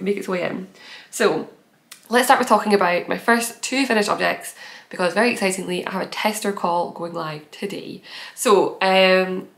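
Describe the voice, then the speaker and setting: A woman's voice: medium pitch, gravelly, a bit bright. A young woman hosting a knitting podcast, chatting casually and giggly to camera from a small room at home, sounding nasal from a head cold. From based in Edinburgh. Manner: chatty, giggly, rambling with fillers.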